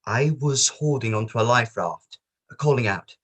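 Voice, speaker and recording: A man reading the part of a sombre witness at a disaster inquiry in a play table read, in a measured, plain manner. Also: mid-range voice, fairly bright tone, husky.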